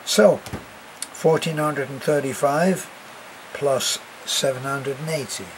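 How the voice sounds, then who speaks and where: A man's voice: mid-range voice, slow, a little hiss or hum, poor audio. An older British man with a cough and a congested voice, chatting casually to camera as an expat vlogger, rambling with many fillers and restarts. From Britain.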